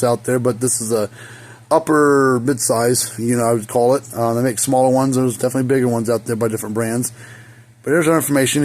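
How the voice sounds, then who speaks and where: A hoarse man giving a casual, rambling how-to repair demonstration in his hot workshop, with lots of fillers. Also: medium pitch, darkish tone. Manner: rambling, folksy, many fillers. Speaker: fence energizer repair technician. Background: faint hum of a small fan.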